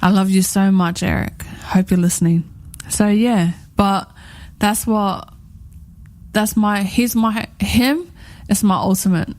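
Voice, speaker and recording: A woman's voice: mid-range voice, fairly even intonation, slow, a little mellow. Rambling heartfelt woman on radio. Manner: rambling, heartfelt, repeats herself. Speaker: radio co-host. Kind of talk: casual radio chat.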